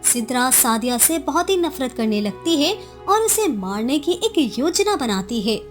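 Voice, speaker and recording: High, lively female story narrator. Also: a little slow, over music. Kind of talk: dramatic scripted cartoon story narration.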